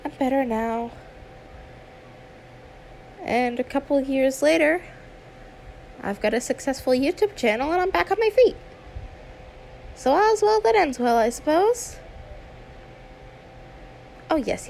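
A woman's voice: sits high, sing-song, a little slow, vocal fry, long pauses, darkish tone, slight background noise, heavily acted. A woman playing a friendly, trusting young female YouTuber in a conversational ASMR roleplay, chatty and confiding with some hesitation. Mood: upbeat, reassuring. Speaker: roleplay audio performer.

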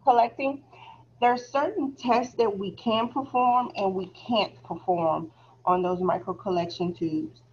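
A soft-spoken woman teaching a relaxed phlebotomy review lecture over a video call, chatty and laughing easily. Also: medium pitch, a little slow, slight background noise. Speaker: phlebotomy instructor. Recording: slightly dull video call audio.

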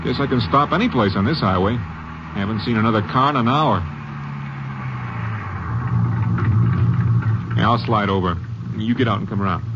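A man's voice: fairly low pitch, fast talker, close to an everyday voice. An actor playing a trusting, chatty traveling salesman with a New York accent in a 1950s radio drama. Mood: tired. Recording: muffled noisy old radio, car sounds.

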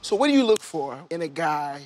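The loud-humored young American man on a televised date, voice high and leaping around as he jokes. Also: very animated, fairly quick. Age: young adult. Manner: boisterous, jokey. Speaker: date on a reality show. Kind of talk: casual joking chat. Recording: music behind the voice. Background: background music.

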